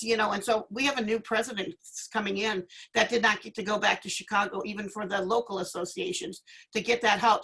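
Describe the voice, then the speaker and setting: A woman's voice: mid-range voice, sharp tone, breathy edge, low-quality recording. A woman giving an informal panel speech in an encouraging, emphatic manner. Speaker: association leader, panel speaker.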